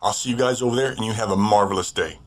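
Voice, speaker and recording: A man's voice: fairly low pitch, fast. A man, a voice actor and podcaster, giving a casual promo.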